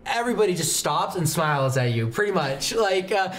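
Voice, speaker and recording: A man's voice: high voice, animated, a little fast, a bit bright. A young man narrating a tabletop roleplaying game as game master, in descriptive, conversational storytelling. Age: young adult. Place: indoor studio set, seated at a desk. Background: background music.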